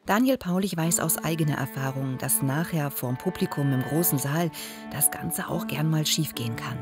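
Documentary narrator: woman, low-pitched, measured scripted voice-over with music behind. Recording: background music under the voice-over.

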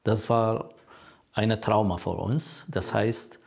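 A man's voice: fairly low pitch, steady tone, slow pace, gravelly, darkish tone. An older man, a native Kurdish speaker with a Kurdish accent, telling his story as a refugee counselor in earnest, broken German. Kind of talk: documentary interview.

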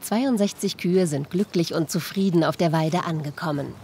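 Calm woman documentary narrator. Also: lowish voice, moderate pace, a little hiss or hum.

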